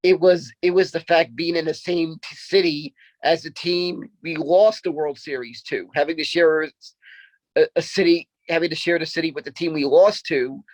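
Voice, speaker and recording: A man's voice: a little high, dry recording, rough recording. A man in his thirties co-hosting a sports podcast, talking casually and often repeating himself as he makes a point. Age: 30s.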